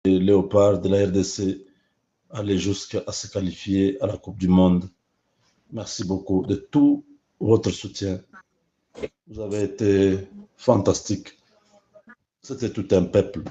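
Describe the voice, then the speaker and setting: Hoarse man, slow and halting. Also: deep voice, fairly even intonation, warm tone, lo-fi sound. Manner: slow, halting delivery with fillers. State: hoarse from a cold. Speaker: online channel host. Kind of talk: casual livestream commentary.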